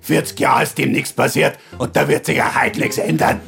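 A woman's voice: a bass voice, fairly even intonation, moderate pace, gravelly, a bit bright, soft background music, full character voice. A woman playing a flamboyant older disco queen in a radio play, theatrical and drawling. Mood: confiding, proud.

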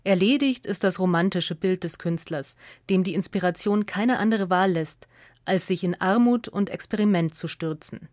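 A woman from narrates a scripted radio essay in a measured, clearly articulated way. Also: fairly low pitch, darkish tone, no room sound, studio quality.

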